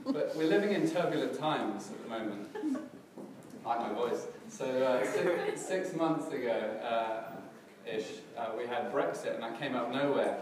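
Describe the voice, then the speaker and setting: A middle-aged man with a slightly hoarse, crackly voice, preaching a casual church sermon in a relaxed, self-deprecating way with many fillers and restarts. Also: medium pitch, unhurried, crowd noise. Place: church hall, in front of a congregation. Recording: distant, very echoey hall with background noise.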